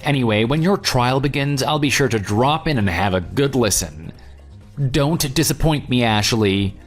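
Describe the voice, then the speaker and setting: A jilted former groom, played by a man, telling his story in an audio drama. He is dry, sarcastic and unyielding, with a medium-pitched voice. Light music sits underneath. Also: lively intonation, normal speed, close to an everyday voice. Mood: firm, mocking. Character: jilted ex-fiance, sarcastic, unyielding, self-assured. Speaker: narrator and lead character. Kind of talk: audio drama dialogue and first-person narration. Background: background music.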